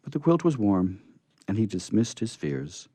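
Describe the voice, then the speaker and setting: A man reading a storybook aloud as narrator in a dramatic, expressive way. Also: fairly low pitch, normal speed, breathy edge, no room sound.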